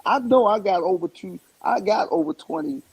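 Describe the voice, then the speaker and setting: A man chatting casually in an interview, rambling in an animated way and repeating words for emphasis. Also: high-pitched, normal speed, breathy edge, no echo, highs cut off. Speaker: stand-up comedian and stage play actor.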